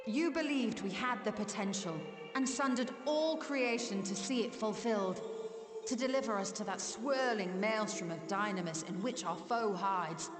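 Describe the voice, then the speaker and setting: A woman's voice: fairly high pitch, close to an everyday voice. A woman voicing a learned, earnest scholar in a fantasy game, formal and articulate. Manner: formal, measured, articulate questioning. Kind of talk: dramatic fantasy game acting. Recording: reverberant hall, music underneath.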